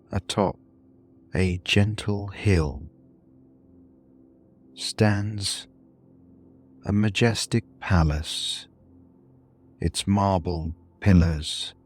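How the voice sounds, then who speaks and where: Guy doing a sleep podcast story, super slow and soothing, deep voice, bit of music under it. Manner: soothing, deliberate, with long pauses between short phrases. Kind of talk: soothing bedtime story narration, scripted.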